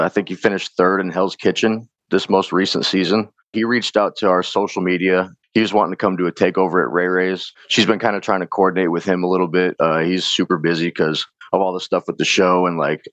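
A man with a slightly hoarse, raspy voice, a chef from Chicago talking in a laid-back, slangy way with casual swearing. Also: deep voice, steady tone, brisk, hardly any pauses, fairly bright tone, no echo. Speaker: chef guest. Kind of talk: casual interview chat. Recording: dull, lo-fi podcast mic.

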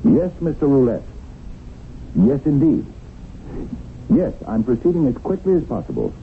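An actor in a 1970s radio drama playing a smooth-talking crooked land dealer who needles coyly while feigning innocence. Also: male voice, mid-range voice, sing-song, normal speed. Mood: businesslike, ingratiating. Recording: tinny band-limited old radio broadcast, hissy.

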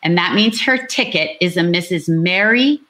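A woman's voice: on the low side, expressive, moderate pace, bright, forceful tone. Southern woman hosting a book chat. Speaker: author and co-host reading out character fates. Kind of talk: live online book talk, part chat and part reading aloud.

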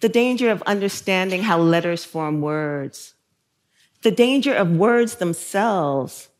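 A woman author from South Carolina speaking in a measured, thoughtful way, with soft laughs between phrases. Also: fairly low pitch, animated. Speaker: guest author. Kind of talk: reflective interview and talk excerpts.

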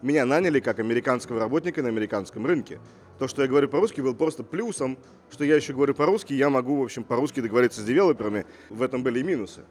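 Fast-talking assertive man. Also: mid-range voice, a little mellow, soft background music. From Russia. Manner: assertive, fond of rhetorical questions. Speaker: entrepreneur guest. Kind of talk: casual interview talk.

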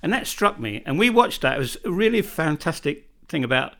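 A man's voice: a little high, big pitch swings, brisk, creaky, fairly bright tone. British man rambling in casual chat. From Britain. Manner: rambling, restarts sentences often. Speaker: podcast co-host. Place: home in northern Bosnia.

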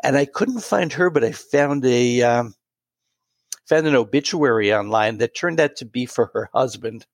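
A man in his late sixties telling a personal story in an interview, pausing and restarting often. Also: mid-range voice, expressive, dry recording. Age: 60s. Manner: warm, halting anecdotal storytelling with many restarts. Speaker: guest.

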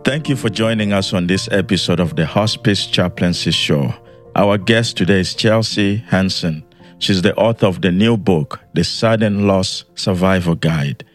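A man hosts a podcast, introducing his guest and asking short, easygoing questions. His voice is extremely low and deep. Faint music plays behind him. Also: a little slow. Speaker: podcast host. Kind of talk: hosting an interview.

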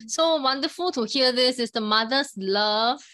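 A woman podcast host and executive coach with a polished, measured delivery, introducing her show and asking questions. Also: sits high, bright, forceful tone. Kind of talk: scripted podcast intro and interview.

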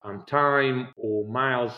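Israeli-accented founder, roomy remote audio. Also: male voice, medium pitch, fairly even intonation, slow pace, highs cut off. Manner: earnest, explains at length with fillers and non-native phrasing. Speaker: startup founder and CEO, guest. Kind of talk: podcast interview answers.

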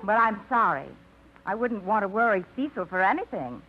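An actress as a flustered small-town librarian. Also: female voice, medium pitch, lively intonation, normal speed, lightly acted. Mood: apologetic. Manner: flustered, self-scolding, laughing it off. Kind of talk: 1950s radio drama acting. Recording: dull old radio broadcast.

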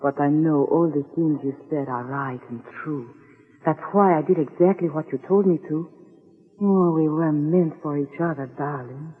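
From an echoing, narrow vintage radio recording comes a naive young woman in love. Her voice is breathy and earnest, with defiant melodrama in the 1940s manner. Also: deep voice, moderate pace. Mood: lovestruck, anxious. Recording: muffled, narrow-band old radio recording, reverberant.

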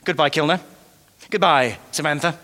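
An actor playing a vain, self-pitying writer from the past in live audio comedy, pompous and stammering when flustered, recorded on stage in an echoey hall. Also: male voice, on the high side, normal speed, sharp tone. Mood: grandiose.

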